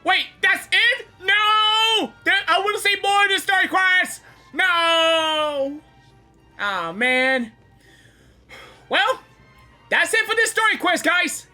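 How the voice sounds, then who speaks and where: A woman's voice: extremely high pitch, animated, takes it slowly, sharp tone. A woman doing a casual, exclamatory reaction to a game as she talks back to it, music behind. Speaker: gaming reaction video creator. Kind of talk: casual excited gameplay reaction.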